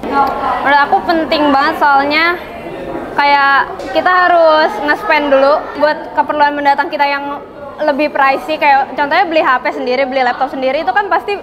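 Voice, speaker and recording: An eighteen-year-old woman answers casually, peppering her talk with fillers and English words. Her voice is high, and a crowd chatters in the background. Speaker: student interviewee. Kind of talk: casual street interview answers.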